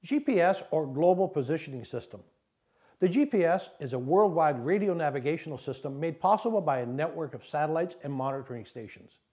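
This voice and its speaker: A man reading scripted instructional narration in a measured, didactic way, as an instructor. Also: fairly high pitch, expressive, a little mellow.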